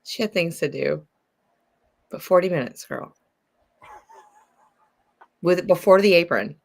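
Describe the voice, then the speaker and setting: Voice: medium pitch, unhurried, creaky. A livestream co-host making short teasing remarks in casual chat.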